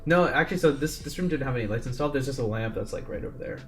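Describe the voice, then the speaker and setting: A man's voice: medium pitch, expressive, racing, fairly bright tone. Young male podcast co-host, self-mocking rambler, home desk mic, faint music under. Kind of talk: casual video-call podcast chat. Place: home bedroom. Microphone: desk mic with pop filter.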